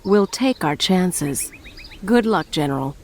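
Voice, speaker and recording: A woman's voice: fairly low pitch, lively intonation, slow, a little mellow, a little hiss or hum. An actress playing a determined, vengeful young American adventurer woman in a video game cutscene. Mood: firm. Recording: music playing behind the voice.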